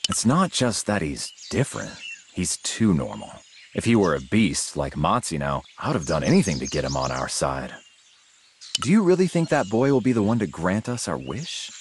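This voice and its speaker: A brooding mentor in a game cutscene, with a low voice that moves expressively up and down. He is cautious and pensive, and there is clear noise behind him. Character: brooding, cautious martial-arts mentor, adult man. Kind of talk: dramatic game cutscene acting.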